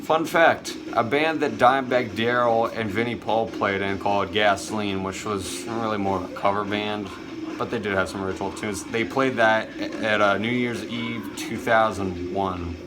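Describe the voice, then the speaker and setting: Young guy hosting a tape collection vlog, excited and rambly, kinda low voice. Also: background noise. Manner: enthusiastic, chatty, with many uh fillers. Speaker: cassette collector and video host. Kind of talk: casual show-and-tell vlog.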